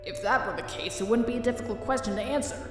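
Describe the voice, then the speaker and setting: A woman's voice: a little high, quick pace, close to an everyday voice. A woman playing an innocent, hopeful young skeleton boy in a comic dub, earnest and pleading. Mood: concerned. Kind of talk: dramatic fan comic dub. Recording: distant, very echoey reverb under music.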